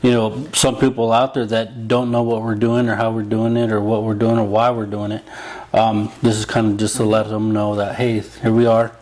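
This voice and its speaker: A man hosting a casual interview, agreeable and quick to affirm the guests, with many fillers in his speech. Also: fairly low pitch, level tone, a little mellow, poor audio. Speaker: host.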